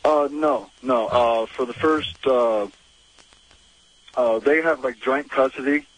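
A man in his twenties calling a radio show on a cell phone, hesitant and halting, with many pauses and uhs. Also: medium pitch, rough recording. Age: 20s. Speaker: caller.

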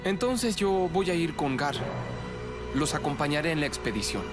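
Animated teenage boy cartoon hero voiced by a man. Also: on the high side, normal speed, over music, close to an everyday voice. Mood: firm. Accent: Latin American.

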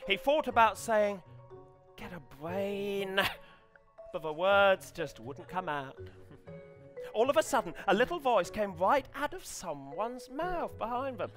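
Slow, hesitant English man reading deadpan. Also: sits high, soft background music. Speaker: comedian reading as an amateur novelist character. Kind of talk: live comedy reading, deadpan storytelling. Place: small stage set indoors, before an audience. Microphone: headset.

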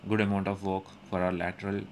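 A young man calmly narrating his gym workout as a voice-over, explaining each step with frequent pauses. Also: sits low, a little hiss or hum. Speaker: fitness vlogger. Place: indoor gym.

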